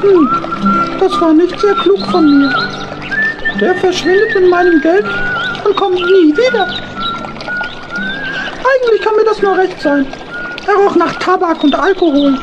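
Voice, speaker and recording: A woman's voice: very high-pitched, unhurried, long pauses, muffled recording, music in the background. A woman voicing a small, naive, earnest male hedgehog in a children's audio drama. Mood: pensive.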